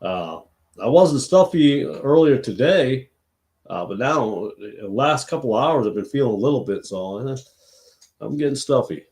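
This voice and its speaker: Stuffy, tired man with a low voice. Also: a little mellow. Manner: rambling, many restarts and fillers, thinking aloud. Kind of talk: late-night livestream talk on faith.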